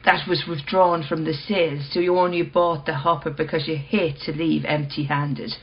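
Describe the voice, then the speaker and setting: An actress playing a cool, probing woman who will not budge, measured and blunt, in a radio play. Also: low voice, fairly even intonation, lo-fi sound. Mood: challenging. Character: cool, probing, unyielding female owner of rare mirror.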